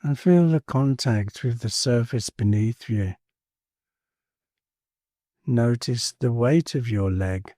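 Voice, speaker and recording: A man leading a guided meditation in a calm, soothing manner, leaving space between short phrases. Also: mid-range voice, slow, long pauses, no room sound, clean studio sound. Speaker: meditation teacher. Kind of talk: guided body scan meditation.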